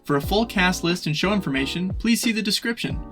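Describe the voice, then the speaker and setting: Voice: mid-range voice, lively intonation, a little fast. A young adult announcer reading a scripted introduction to a radio play. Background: soft plucked guitar music.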